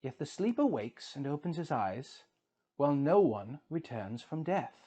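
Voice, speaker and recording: A man's voice: on the high side, sing-song, unhurried, dark tone, slightly rough, exaggerated voice. A man voicing a solemn ancient immortal sage who admonishes in a grave, oratorical reading of an epic. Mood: philosophical, grave.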